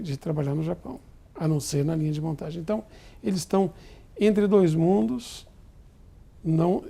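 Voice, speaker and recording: A man with a deep, gravelly edge to his voice and a very dark, mellow tone. He is middle-aged and speaks formally and deliberately. He sounds like a diplomat giving a television interview. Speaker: Brazilian diplomat in Tokyo.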